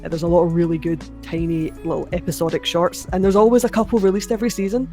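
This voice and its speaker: A young woman with a mid-range Scottish accent, chatting casually as a podcast guest, quick to laugh and restart her sentences. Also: lowish voice, steady tone, warm tone, soft background music. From Scotland. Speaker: podcast guest, anime video creator. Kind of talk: casual chat.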